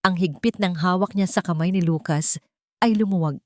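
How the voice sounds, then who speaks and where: A woman's voice: lowish voice, normal speed, dry recording, studio quality. A woman with a Filipino accent narrating a scripted dramatic story.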